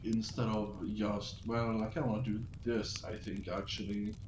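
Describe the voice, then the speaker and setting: A man's voice: on the low side, flat delivery. Casual male streamer in an echoey room. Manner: halting, rambling, thinking aloud. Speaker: live streamer. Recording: roomy echo, some background noise.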